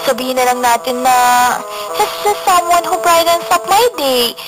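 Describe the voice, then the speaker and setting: A very high, girlish voice plays a coy young Filipina student in love. She is teasing and playful, in radio-drama style, with music playing behind her. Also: expressive, moderate pace, low-quality recording. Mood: playfully evasive. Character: playful, coy, smitten female college student. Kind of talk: radio romance drama acting.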